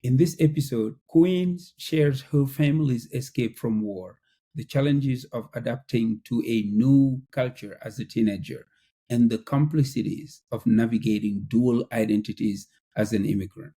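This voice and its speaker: Male podcast host, very dark and slightly husky, measured and formal. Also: mid-range voice. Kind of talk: scripted intro then interview questions.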